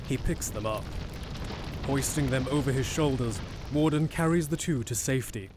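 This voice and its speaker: A man narrating an audio drama from a script in a measured, descriptive storytelling manner. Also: mid-range voice, a little mellow.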